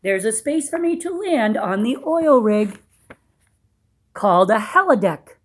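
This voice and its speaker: Female storybook reader, fairly high voice, teacherly, explains simply and puts questions to young listeners. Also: very animated, unhurried. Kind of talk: read-aloud with simple explanations for young children.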